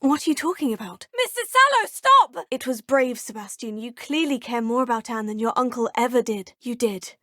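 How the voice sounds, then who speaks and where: An earnest young student witch, courteous and formal in every line. Her voice sits fairly high and is recorded very dry, close in a studio booth. She speaks with measured calm in game dialogue. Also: no room sound. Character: young female student witch, polite and composed. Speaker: player character. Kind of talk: video game voice lines.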